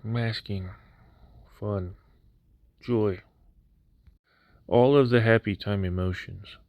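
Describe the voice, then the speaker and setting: Low-voiced male hobbyist, laid-back and wry, voice slightly worn, dry close-mic voice-over. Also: steady tone, slow, darkish tone, dry recording. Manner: casual, dry humor. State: recovering from near voice loss. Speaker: scale model builder. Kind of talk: voice-over unboxing walkthrough.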